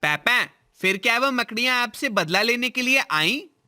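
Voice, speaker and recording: A man acts out a small cartoon boy who is scared of spiders and keeps pleading with his mother. The voice is very high and sharply bright. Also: expressive, normal speed, heavily acted. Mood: curious. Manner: pleading, fearful. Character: timid seven-year-old boy afraid of spiders. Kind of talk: cartoon voice acting.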